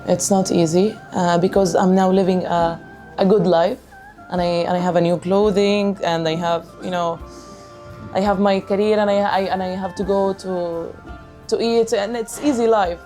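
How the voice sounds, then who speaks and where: Earnest Palestinian woman with halting speech. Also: mid-range voice, steady tone, warm tone, soft background music. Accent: Palestinian Arabic. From Gaza, Palestine. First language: Arabic. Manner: halting, earnest, many repeated words and restarts. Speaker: singer of a music band. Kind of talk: interview answers.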